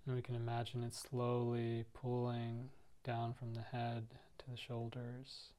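A man leading a guided meditation, speaking gently and softly in unhurried, spaced-out phrases. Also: mid-range voice, completely flat delivery. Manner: soft, gentle, unhurried instructing with pauses. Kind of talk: guided body-scan meditation.

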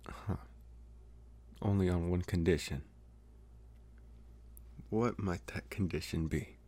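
Man playing a very deep, halting young vampire. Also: mellow, heavily acted. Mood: hesitant, bargaining. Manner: halting, hesitant, trying to sound intimidating. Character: socially awkward young male college vampire, possessive yet earnest. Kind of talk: ASMR roleplay, intimate monologue to the listener.

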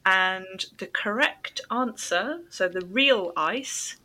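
A woman's voice: mid-range voice, expressive, slow pace, bright, forceful tone, poor audio. A woman hosting an English-learning podcast, chatting with a guest in an encouraging, conversational way. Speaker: podcast host and English teacher.

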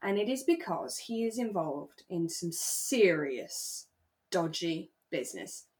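A British woman co-hosting a podcast, telling stories in a chatty, explanatory way as she recaps a drama in relaxed conversation. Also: lowish voice, slow. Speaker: podcast co-host.

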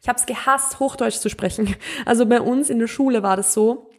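A woman's voice: a little high, a little fast. A chatty young Austrian woman hosts her own podcast, talking freely on her own. Her Upper Austrian accent colors her standard German, and she laughs often and slips English words into her sentences. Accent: Upper Austrian (Mühlviertel), speaking standard German. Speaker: podcast host. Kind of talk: casual solo podcast chat.

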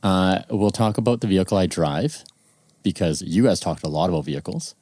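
A man's voice: deep voice, a little fast, a little mellow, dry recording, studio quality. A man co-hosting a podcast, chatting in a laid-back way and laughing easily. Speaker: podcast co-host. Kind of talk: casual chat.

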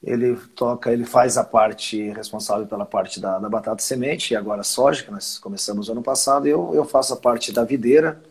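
Man, 50s, husky, relaxed rambling interview guest. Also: medium pitch, fairly quick, few pauses. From southern Brazil. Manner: rambling, restarts phrases, chuckles often. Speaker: winery owner, guest. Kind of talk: casual conversational interview.

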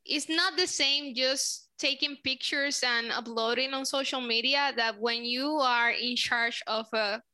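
Young puerto rican woman, spanish accent, bright highish voice, lots of um and like. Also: fairly even intonation, measured pace, few pauses, clear, resonant tone. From Puerto Rico. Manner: searching for words, frequent fillers. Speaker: social media manager, guest. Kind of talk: casual interview answers.

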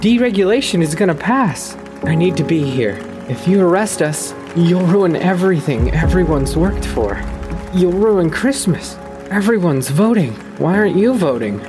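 An eager, scheming young reindeer with a highish male voice. He is a melodramatic mama's boy in a comic radio play, with music behind him. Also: very animated, moderate pace. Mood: pleading, indignant. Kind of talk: comic radio drama acting.

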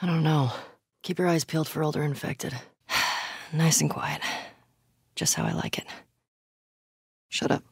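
A woman's voice: low voice, unhurried, vocal fry, pauses often, slightly rough, no room sound, clean studio sound, heavily acted. An actress playing a tough, foul-mouthed, wry young woman survivor in a naturalistic video game performance. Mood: wary, hushed. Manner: muttering, terse, casually profane.

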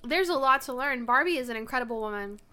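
A woman co-hosting a casual home podcast, soft-spoken and playful. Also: high voice, animated, fast, clean tone. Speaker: podcast co-host. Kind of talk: casual chat.

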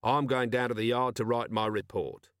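A man's voice: medium pitch, steady tone, normal speed, a bit bright, dry recording, clean studio sound, lightly acted. Man playing a weary, irritable police commander. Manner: exasperated, sardonic.

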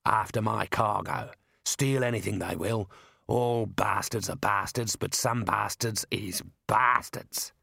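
Gruff, hard-bitten driver played by a male narrator, brash and blunt, dry studio audiobook delivery. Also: fairly low pitch, steady tone, slow pace, bright, forceful tone, no room sound, exaggerated voice. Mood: grim, brisk. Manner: blunt, slangy, matter-of-fact.

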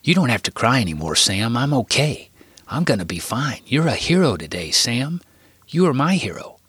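A man's voice: medium pitch, sing-song, moderate pace, slightly rough, dry recording, pitched above the performer's own voice, exaggerated voice. A man voicing an injured teenage boy who patiently reassures his little brother. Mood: reassuring. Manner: gentle, reassuring.

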